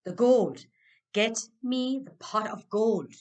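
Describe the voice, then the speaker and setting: A woman's voice: on the high side, slow, darkish tone. A woman voicing a greedy, hot-tempered male farmer in a storybook, blunt and demanding. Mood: furious, roaring. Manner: blunt, demanding, roaring when angered.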